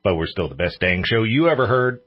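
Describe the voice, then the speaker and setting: A man's voice: lowish voice, animated, brisk, husky, muffled recording. A man hosting a radio show in casual chat, with an informal, joking manner.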